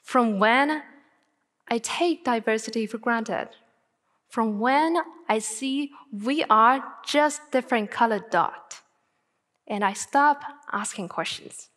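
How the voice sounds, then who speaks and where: A woman's voice: fairly high pitch, fairly bright tone. Chinese-accented young woman giving a stage talk. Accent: Mandarin Chinese. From northern China. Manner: earnest, deliberate with slow emphatic phrases. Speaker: student speaker. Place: large auditorium stage. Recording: long hall reverb.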